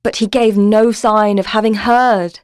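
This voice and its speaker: A woman narrating a scripted story in a measured, literary and dramatic manner. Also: medium pitch, fairly even intonation, smooth, no echo, studio quality.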